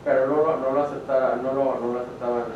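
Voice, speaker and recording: Halting man, distant and very echoey. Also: medium pitch, level tone. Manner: halting, searching for words. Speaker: Bible study participant. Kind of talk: spontaneous questioning in a group study. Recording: noisy, muffled, echoey, far from mic.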